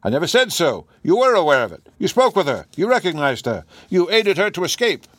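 A man's voice: sits high, sing-song, brisk, no room sound, pitched above the performer's own voice. A man reading aloud, voicing a male detective who lays out his reasoning in a precise, assured way. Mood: pressing. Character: keen male detective, calm and commanding.

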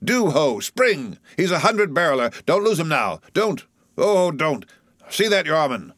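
A man voicing an excitable, greedy, cruel whaleboat mate in an audiobook, pleading frantically in repeated exclamations. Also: mid-range voice, a little slow, no room sound, clean studio sound. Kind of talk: audiobook storybook character voice.

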